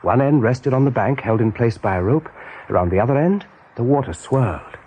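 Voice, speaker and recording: A man narrating an animal adventure as a calm storyteller in a radio play. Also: medium pitch, a little fast, husky. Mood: measured.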